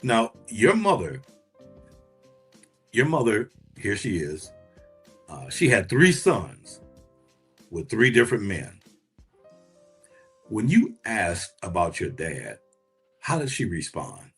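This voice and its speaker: A man hosting a conversational interview, asking questions deliberately and often rephrasing them. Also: fairly low pitch, big pitch swings, husky, faint music behind. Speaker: podcast host, interviewer.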